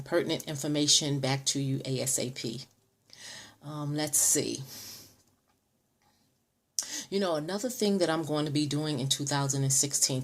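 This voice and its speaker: Woman, late 40s, vlogger; low, chatty, self-correcting, preacherly stress. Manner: chatty, many ums and restarts. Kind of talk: casual direct-to-camera vlog.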